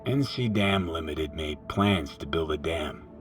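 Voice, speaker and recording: Deep-voiced laconic man, loud music behind. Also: lively intonation, a little slow. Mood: matter-of-fact. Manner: terse, casual, matter-of-fact. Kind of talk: naturalistic video game dialogue.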